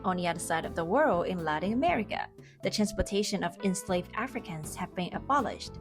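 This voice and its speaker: A young woman narrates a food history piece in a clear, steady, scripted manner. Her pitch is mid-range, and faint background music plays beneath her voice. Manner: clear, even storytelling with brief mm-hmm cues. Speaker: food video host. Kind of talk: scripted documentary narration and interviewing.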